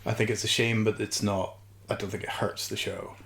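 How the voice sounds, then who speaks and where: A man co-hosting a podcast, casually discussing a television series in a measured way and qualifying his points. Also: on the low side, little pitch movement, creaky, a bit bright, a little hiss or hum. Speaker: podcast co-host.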